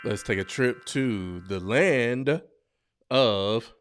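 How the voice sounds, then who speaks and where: A man's voice: mid-range voice, extremely slow, over loud music. A man hosting a podcast, reacting to online videos in casual, slangy, blunt and joking commentary full of exclamations. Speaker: podcast host.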